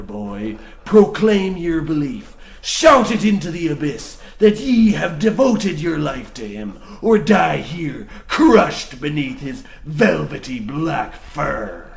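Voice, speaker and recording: A man's voice: medium pitch, vocal fry. An actor playing a crazed, zealous old mine foreman with archaic rustic speech in a radio play. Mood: furious zeal. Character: crazed old miner foreman, fanatical believer. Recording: roomy echo, background noise.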